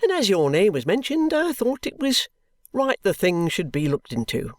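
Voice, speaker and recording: A reader voicing a brisk, officious young law clerk. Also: mid-range voice, wildly swooping pitch, no room sound, pitched above the performer's own voice.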